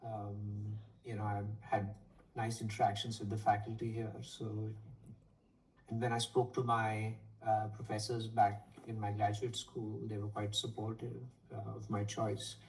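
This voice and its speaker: A man in his forties, an economics professor, answering interview questions thoughtfully over a video call with frequent pauses and fillers. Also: on the low side, monotone. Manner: thoughtful, hesitant with many fillers. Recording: distant from the mic, faint noise.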